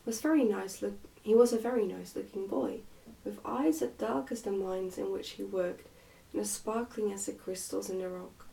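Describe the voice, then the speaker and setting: Creaky, mellow woman reading storybook ASMR. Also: mid-range voice, moderate pace, far from the mic, rough recording. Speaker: reader, ASMR channel host.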